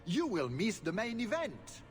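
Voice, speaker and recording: An actor playing a scheming, haughty duke, mock-courteous and theatrical, in a video game. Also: male voice, sits high, huge pitch swings, a little slow, in a large hall, soft background music, full character voice. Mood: mock-courteous menace. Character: haughty scheming aristocratic duke, suave male villain.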